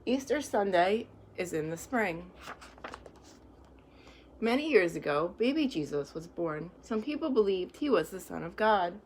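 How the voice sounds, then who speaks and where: A woman reading picture books aloud to young children, pausing to explain things in simple, reassuring words. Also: medium pitch, lively intonation, slight background noise.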